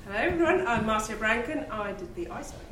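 A woman speaking on a live leadership panel, warm and reflective, with easy laughs. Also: fairly high pitch, animated, fast talker, noisy background. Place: event venue with live audience. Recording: roomy, distant, live-event ambience.

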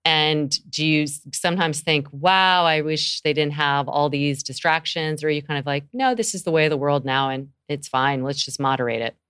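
Low-voiced, chatty middle-aged woman. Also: fairly even intonation, normal speed, few pauses, fairly bright tone, clear, resonant tone. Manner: enthusiastic, chatty, laughs easily. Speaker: podcast host, yoga teacher. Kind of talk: conversational interview.

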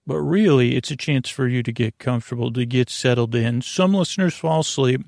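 A man hosting a sleep podcast in a long-winded, halting monologue that keeps drifting off topic, with a gravelly, creaky voice. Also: mid-range voice, a little mellow, no room sound, studio quality. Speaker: podcast host.

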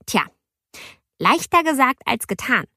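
A woman's voice: high-pitched, unhurried, no room sound, studio quality, heavily acted. A woman voicing an indignant ten-year-old girl who narrates her misadventures expressively in an audiobook. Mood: exasperated, lively. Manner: expressive, youthful, exasperated. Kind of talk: audiobook first-person narration.

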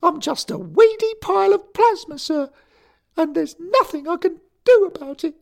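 Shrill, furious schoolboy ghost. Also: male voice, expressive, normal speed, vocal fry, exaggerated voice. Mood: furious whining. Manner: shrill, shouting, ends every sentence with "sir". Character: furious 13-year-old boy ghost, sulky.

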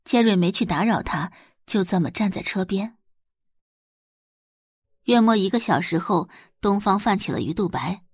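A woman narrates romance fiction with animated, wide-ranging intonation. Her voice has a husky edge and is recorded close and dry, with a dramatic scripted storytelling delivery. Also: medium pitch, moderate pace, pauses often, no room sound. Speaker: audiobook narrator.

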